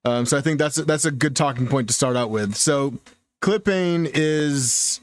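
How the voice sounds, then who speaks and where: A man hosting a casual live tech chat, talking in a relaxed way and thinking out loud with restarts. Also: a little high, a little slow. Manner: relaxed, talks through thoughts with restarts. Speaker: livestream host.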